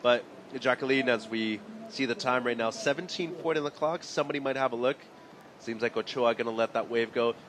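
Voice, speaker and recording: A man doing live play-by-play for a sporting event, his voice mid-pitched and ordinary. He keeps a steady, informative delivery, reading out scores and the time on the clock. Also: fairly quick, slight background noise. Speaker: play-by-play commentator.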